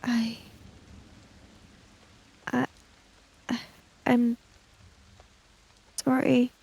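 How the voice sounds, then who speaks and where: A woman's voice: a little high, flat delivery, extremely slow, gravelly, mellow, breathy edge, rough recording. A woman playing a tabletop role-playing game, improvising in a hesitant, tentative manner. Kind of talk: improvised in-game talk and narration.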